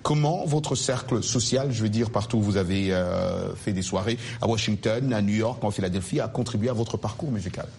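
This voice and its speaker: A man's voice: fairly low pitch, a little fast, gravelly, few pauses, a little mellow, slight background noise. A man presenting a radio music show, greeting listeners in a conversational way peppered with tag questions. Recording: music playing behind the voice.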